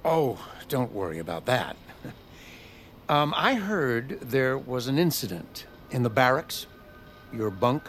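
An actor playing a quietly pressuring male American army corporal in an audio drama, speaking in a halting, deliberate way. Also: mid-range voice, very animated, faint music behind. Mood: awkwardly affable. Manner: halting, deliberate, soft-pedalling.

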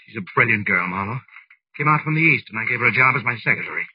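Worried executive, earnest, proper, animated pitch, 1940s radio acting, muffled recording. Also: male voice, rapid-fire, breathy edge, dry recording. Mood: earnest, subdued. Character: earnest, anxious young male business executive in love.

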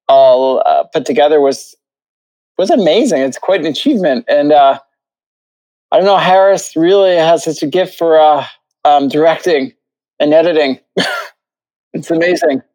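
A man's voice: fairly high pitch, normal speed, long pauses, darkish tone, rough recording. A man giving casual interview answers as a theatre composer, in a hesitant, loosely phrased way.

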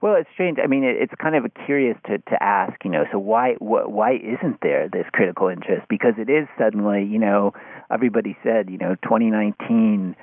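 Male interview guest, deep register, telephone-band audio, reflective and halting, conversational delivery with frequent restarts. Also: no room sound. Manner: thoughtful, halting, often restarts phrases. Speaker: interview guest, writer of an article on podcasts. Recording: phone-like narrow-band sound.

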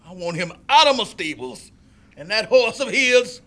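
A man playing an eager young male jockey who talks urgently and rises to shouts. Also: sits high, big pitch swings, normal speed, full character voice. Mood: resentful.

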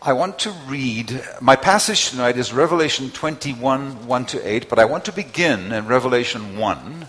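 A man preaching in English through an interpreter, speaking in short phrases with pauses left for the translation. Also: medium pitch, lively intonation, fairly bright tone. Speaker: guest preacher. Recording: long hall reverb.

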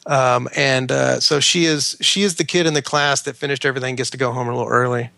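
A man's voice: mid-range voice, fairly even intonation, fast talker, creaky, bright, forceful tone, no room sound, clean studio sound. A male author guest talking casually about his writing, earnest and prone to stammered restarts. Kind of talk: casual interview chat.

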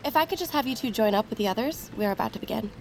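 High-pitched harried event hostess. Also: female voice, lively intonation, background noise. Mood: politely brisk. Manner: flustered, hurried, polite to guests. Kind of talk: audio drama acting.

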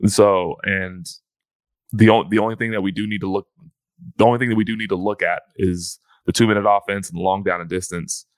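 A man's voice: sits low, steady tone, a little fast, dry recording. A man co-hosting a fantasy football podcast in casual chat, often restarting his phrases.

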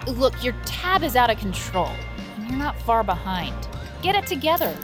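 An actress playing a blunt, exasperated bar owner in an audio drama, scolding in a no-nonsense way. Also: female voice, a little high, big pitch swings, moderate pace. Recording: music behind the voice.

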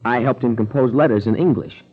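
A man's voice: mid-range voice, fairly quick, breathy edge, lightly acted. An actor playing a wary, polite young male university student in a 1940s radio drama. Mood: wary, puzzled. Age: young adult. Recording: muffled 1940s radio recording.

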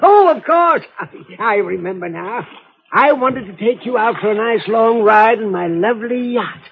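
A man's voice: sits high, lively intonation, moderate pace. An actor playing a genial, scatterbrained, wealthy old eccentric in a 1950s radio drama, absent-minded and chuckling as he talks. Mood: cheerfully generous. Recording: muffled phone-like old radio recording.

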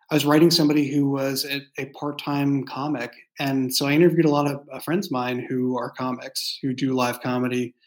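A man speaks in a mid-pitched voice, as a writer chatting about his craft. He explains in a casual, halting way and often circles back to reword what he has begun. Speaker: fiction podcast writer and creator. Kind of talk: casual behind-the-scenes discussion.

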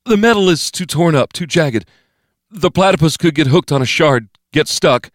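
A male narrator puts on a frightened younger man for an audiobook. He speaks in a raised pitch, uneasy and hesitant. The tense delivery comes through a very dry recording. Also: animated, normal speed, slightly rough, no room sound. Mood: nervous, reluctant.

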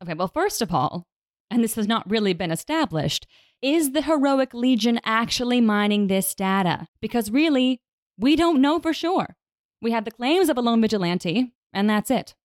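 A woman's voice: fairly high pitch, lively intonation, moderate pace, no echo, studio quality, close to an everyday voice. A woman playing an assertive advocacy leader on a radio debate panel, articulate and lawyerly in her arguing. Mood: assertive, defensive.

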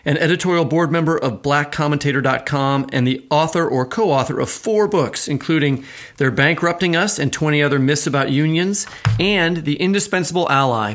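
An American man speaks in a somewhat high-set voice as a podcast co-host. His delivery moves between prepared introductions and informal, conversational asides. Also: fairly bright tone. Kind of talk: conversational podcast hosting, partly scripted.

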